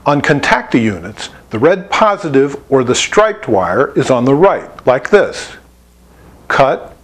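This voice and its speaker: A man giving a scripted instructional narration, explaining each step plainly as an instructor. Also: medium pitch. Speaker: instructor presenting an installation tutorial.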